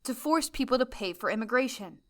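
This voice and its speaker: A woman's voice: a little high, animated, fairly quick, a bit bright. Bitter, distrustful young woman, played by a woman in an audio drama; grim and insistent. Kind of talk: fan audio drama acting.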